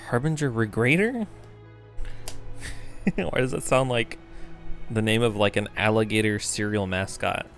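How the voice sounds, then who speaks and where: A man's voice: lowish voice, unhurried, gravelly, pauses often, a bit bright, soft background music. Young man casually reacting on a game stream. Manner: joking, slangy. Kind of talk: casual live reaction commentary over a game.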